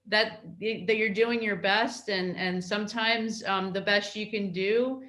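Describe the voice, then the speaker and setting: A middle-aged woman from New York, a consul answering interview questions in a halting way, with many fillers and restarts. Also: mid-range voice, fairly even intonation, a bit bright, roomy sound. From New York, United States.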